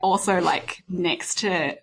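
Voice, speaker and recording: A young woman with a New Zealand accent, chatting casually as an interview guest, laughing often and filling pauses with ums. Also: on the low side, creaky, background noise. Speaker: guest, violinist and ensemble founder.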